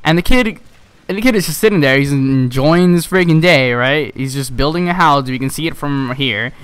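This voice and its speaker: A man's voice: fairly high pitch, normal speed, fairly bright tone, clean tone, no echo, low-quality recording. A young man telling a casual story with exasperated, sarcastic, slangy and sometimes profane delivery, acting out dialogue as he goes. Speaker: video creator telling a story.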